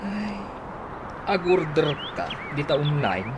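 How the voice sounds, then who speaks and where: An animated mid-pitched voice with noisy sound around it: a man playing an easygoing Ilocano husband in a radio comedy. He is thrifty and likes to tease. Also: slow pace, exaggerated voice. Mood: mild, puzzled. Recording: noisy radio drama recording, ambient sounds.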